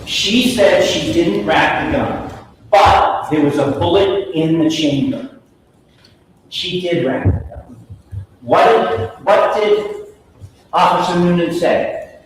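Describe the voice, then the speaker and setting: A man with a fairly high voice delivers a prosecutor's closing argument. He speaks slowly and emphatically in short, declarative phrases. The recording is heavily reverberant, caught at a distance in a large courtroom. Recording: echoey courtroom from far off, faint noise.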